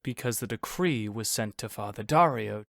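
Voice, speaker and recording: A man's voice: lowish voice, expressive, normal speed, creaky, fairly bright tone, dry recording. Man acting a stern royal king, dry close sound. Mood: formal, firm. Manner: formal, authoritative, unyielding. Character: stern, proud, formal middle-aged king and father. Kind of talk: audio roleplay acting.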